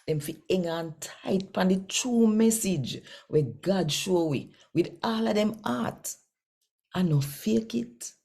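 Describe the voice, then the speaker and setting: A woman's voice: mid-range voice, lively intonation, takes it slowly, vocal fry, slightly rough. A woman with a Jamaican accent, reading scripture aloud and chatting to her viewers in a folksy, conversational way. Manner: warm, folksy, conversational Creole phrasing. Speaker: scripture reader. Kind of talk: daily scripture reading and casual address.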